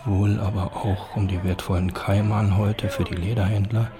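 A sober male narrator voiced by an actor for a radio documentary drama. The voice is low and very even, and the scripted delivery is formal and measured. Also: lightly acted.

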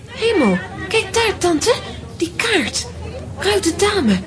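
Very high-pitched stubborn little girl. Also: very animated, slow pace, a crowd in the background, exaggerated voice. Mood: excited. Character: stubborn, wide-eyed, excitable little girl who believes in fairy tales. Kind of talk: children's audio play acting.

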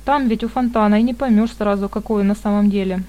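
A woman narrates a fantasy novel in an even, measured voice. Her tone is mellow and warm, and she shades the characters' dialogue only lightly. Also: mid-range voice. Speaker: audiobook narrator. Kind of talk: reading a fantasy novel aloud.

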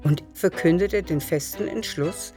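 A woman's voice: fairly low pitch, measured pace. A woman reading scripted literary narration for a radio drama. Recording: music behind.

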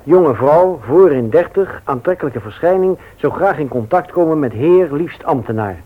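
A man's voice: on the high side, lively intonation, moderate pace. An actor playing a reluctant, sceptical single man with a dry, wry grumble in a Dutch radio play. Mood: sceptical, scornful. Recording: tinny old radio recording.

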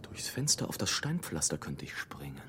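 An actor playing a sardonic, restless imprisoned adventurer in an intense, literary radio monologue. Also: male voice, extremely low pitch, very animated, moderate pace. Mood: brooding, sardonic. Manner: literary, intense, often dropping to a breathy whisper. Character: brooding, sardonic, restless imprisoned male adventurer telling his plight. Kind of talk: dramatic monologue in a German radio play. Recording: clear background noise and ambience.

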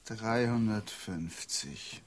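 An actor in a radio drama playing a gruff, impatient card player who is curt and commanding. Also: male voice, on the low side, lively intonation, very slow.

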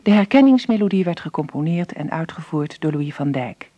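A woman's voice: low voice, expressive, fairly quick, dark tone, no room sound. A woman announcer reading scripted closing credits for a radio drama.

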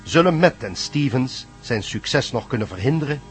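A man's voice: mid-range voice, animated, normal speed. Male radio serial narrator over music. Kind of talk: scripted dramatic recap narration.

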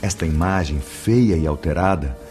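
A man acting in a radio drama as a grave, patient spirit mentor, speaking in a measured, didactic way with authority. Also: sits low, a little mellow, soft background music, close to an everyday voice. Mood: solemn, explaining. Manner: solemn, didactic, measured delivery.

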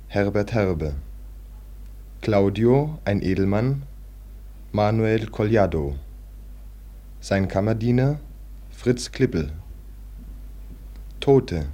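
A man announces a cast in old-fashioned formal radio style. His voice is fairly low and dark. He reads precisely, leaving space between names at a slow overall pace.

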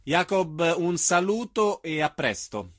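A man's voice: on the high side, big pitch swings, takes it slowly, fairly bright tone, no room sound, clean studio sound. A man hosting a radio arts programme, interviewing guests and reading event announcements.

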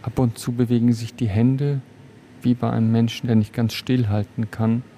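A man's voice: mid-range voice, flat delivery, moderate pace, warm tone. A man working as a reporter, describing what he observes on the spot. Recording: faint noise, location ambience.

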